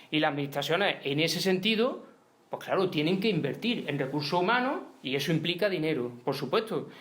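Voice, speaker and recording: Fast emphatic man giving a talk. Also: high-pitched, fairly bright tone. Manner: emphatic, many tag questions. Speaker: union speaker. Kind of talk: conference talk. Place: conference hall.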